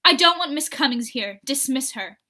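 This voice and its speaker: A woman acts out a jealous bedridden wife in an old-time radio play. Her voice is high and piercingly bright. She is stern and imperious, pressing every accusation. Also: lively intonation, moderate pace, exaggerated voice. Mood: accusing, commanding. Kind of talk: 1940s radio drama acting.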